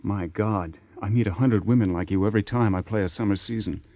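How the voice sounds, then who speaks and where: An actor in a radio drama playing a persistent, impatient theater man, smooth-talking and sardonic as he presses his case. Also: lowish voice, a little fast, warm tone, no room sound, clean studio sound. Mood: coaxing. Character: persistent, persuasive theater man seducing a married woman, impatient. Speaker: lead actor.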